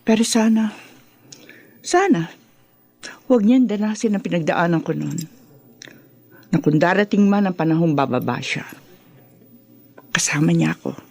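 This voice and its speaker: A woman playing a doting, excitable, fussy middle-aged mother in a radio drama, exclaiming and nagging as she chats. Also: fairly low pitch, a little slow, vocal fry, long pauses, husky, full character voice. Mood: wistful. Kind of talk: Tagalog radio drama acting.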